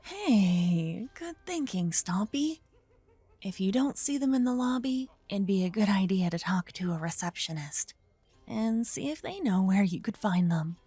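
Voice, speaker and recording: Woman doing cute paladin girl for asmr roleplay, mellow and slow, caring but teasing, kinda flustered, music behind. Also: medium pitch, animated, slightly rough, dry recording. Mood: teasing, bashful. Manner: playful, soft, stammering when flustered.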